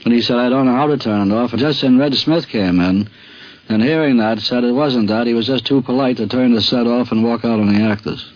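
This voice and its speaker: A man's voice: medium pitch, fairly quick. Male interviewee, mid-range voice, conversational storyteller, dull old radio sound. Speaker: sportswriter, interviewee. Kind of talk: conversational anecdote telling. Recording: dull vintage radio recording.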